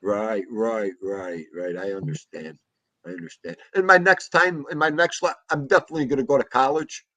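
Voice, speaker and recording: A man's voice: medium pitch, normal speed, sharp tone, no echo. A man hosting a casual livestream chat, greeting viewers and laughing often. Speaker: livestream host.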